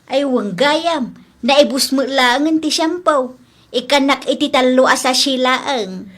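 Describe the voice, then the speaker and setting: A woman's voice: high-pitched, takes it slowly, fairly bright tone, exaggerated voice. A woman with an Ilocano accent playing a kindly, easygoing elderly mother-in-law in an audio comedy drama. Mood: chatty, content.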